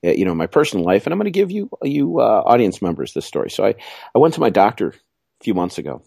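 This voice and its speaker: A man's voice: lowish voice, very animated, quick pace, creaky, darkish tone, slightly rough, no room sound, clean studio sound. A middle-aged man, co-hosting a podcast in a jokey, rambling, self-mocking way. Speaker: podcast co-host. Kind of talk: casual chat.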